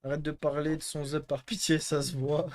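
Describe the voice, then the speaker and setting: A man's voice: on the high side, level tone, normal speed, a little mellow. A young man hosting a live stream, chatting casually and answering chat with crude, teasing jokes. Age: young adult.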